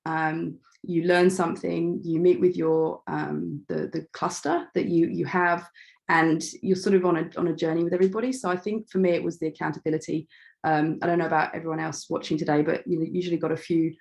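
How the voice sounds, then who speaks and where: Casting: woman, middle-aged, lowish croaky voice, chatty self-deprecating guest speaker, hesitant phrasing. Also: level tone, a little fast, darkish tone. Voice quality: slightly croaky. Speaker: start-up founder and guest speaker. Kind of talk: informal online talk about own background.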